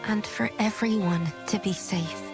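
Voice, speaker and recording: An actress playing a gentle, devout young oracle in a video game cutscene, soft-spoken, pensive and solemn. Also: female voice, medium pitch, measured pace, music in the background, lightly acted.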